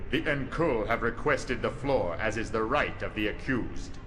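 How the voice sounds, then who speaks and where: A man playing a stern, formal alien judge presiding over a senate in a video game, measured and authoritative. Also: fairly low pitch, expressive, a bit bright, slight background noise. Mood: stern, grave. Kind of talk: dramatic video game cutscene acting.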